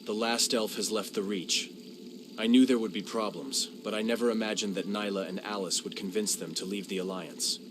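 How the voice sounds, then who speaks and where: A man's voice: fairly low pitch, steady tone, normal speed, noisy background, natural voice. A man playing a ruthless inquisitor. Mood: stern. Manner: firm and cold. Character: stern male High Inquisitor, ruthless and authoritative.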